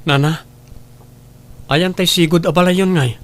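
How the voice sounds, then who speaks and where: An actor playing a dutiful, apologetic grown son in a family drama, speaking earnestly and respectfully. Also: male voice, on the high side, fairly even intonation, unhurried, close to an everyday voice. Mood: shocked, apologetic. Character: dutiful adult son, apologetic and concerned for poor neighbours. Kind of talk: Ilocano radio-style family drama acting.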